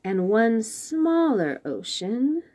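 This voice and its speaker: A woman reading a picture book aloud to young children in an expressive way, with chatty asides and questions about the pictures. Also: on the high side. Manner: expressive, pauses to point out pictures and ask questions. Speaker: children's read-aloud host.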